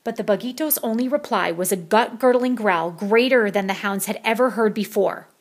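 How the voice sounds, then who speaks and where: A woman's voice: mid-range voice, moderate pace. A woman reading a children's picture book aloud as a storyteller.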